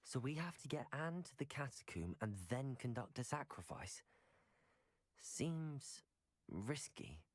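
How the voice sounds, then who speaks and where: A man's voice: medium pitch, big pitch swings, unhurried, dry recording. A man playing an earnest, cautious teenage wizard student, the hero of a video game. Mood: wary. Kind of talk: scripted video game dialogue and combat calls.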